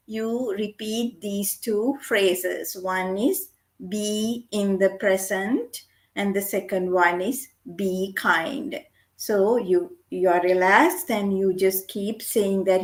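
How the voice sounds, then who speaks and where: A middle-aged woman giving a gentle, earnest guest lecture on meditation, repeating her key points. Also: mid-range voice. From Sri Lanka. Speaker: guest lecturer, medical doctor.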